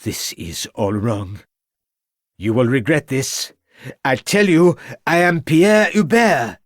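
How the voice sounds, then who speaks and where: A man's voice: medium pitch, animated, takes it slowly, dry recording, clean studio sound, pitched above the performer's own voice. A man voicing a cornered male con man who drops a fake French accent for a Cockney one, pleading and sly. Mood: desperate. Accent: fake French, then Cockney. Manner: pleading, rising to desperate shouts.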